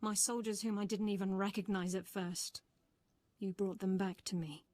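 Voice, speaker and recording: An actress playing a weary, dutiful military officer in a video game, blunt and bitter but restrained. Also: female voice, medium pitch, fairly even intonation, moderate pace, a little mellow, close to an everyday voice.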